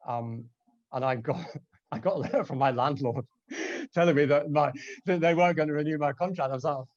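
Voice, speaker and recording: A man talks very quickly and conversationally, with wry self-deprecation. His medium-pitched voice comes through dry and slightly muffled, like an online call. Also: dry recording. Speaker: translator, panel guest. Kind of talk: conversational anecdote telling.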